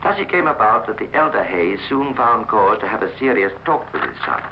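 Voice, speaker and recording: A man narrating a 1950s radio drama in a measured, formal storytelling manner. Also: lowish voice, animated. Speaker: narrator and host. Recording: muffled, hissy old radio recording.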